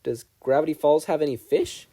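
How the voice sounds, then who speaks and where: Man reading as an earnest, guarded teenage boy, mellow voice. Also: a little high, normal speed, pitched above the performer's own voice. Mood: curious. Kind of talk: fan fiction audiobook character reading.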